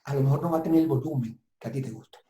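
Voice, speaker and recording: A man's voice: mid-range voice, very fast, warm tone. A man speaking as a guest consultant in a webinar interview, giving advice in an emphatic, reflective way. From southern Italy. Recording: roomy, echoing sound.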